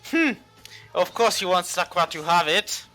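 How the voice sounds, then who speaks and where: A man's voice: sits high, expressive, unhurried, pushed and bright. A man streaming a video game, commenting playfully and reading his character's lines in a theatrical way. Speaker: gaming streamer. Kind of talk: let's play commentary. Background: game music.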